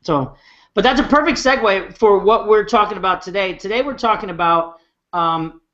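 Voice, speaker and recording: A man hosts an online hangout over a video call, talking in a relaxed, meandering way. His voice is high for a man. The sound is dull and lo-fi. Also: moderate pace, slight background noise. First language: English. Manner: relaxed, conversational, rambling. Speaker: host.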